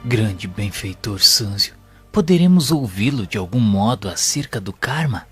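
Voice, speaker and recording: A man plays a reverent spirit pupil recounting his memories in an audio novel. His male voice is fairly low, earnest and measured, with a Brazilian accent. It rings in a long hall reverb over faint music. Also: lively intonation. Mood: humble, respectful.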